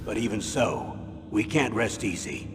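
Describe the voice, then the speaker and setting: A man playing a grave, authoritative middle-aged military commander giving a measured, formal briefing in a video game. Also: fairly low pitch, big pitch swings, long reverb tail, over music. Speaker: colonel giving a mission briefing.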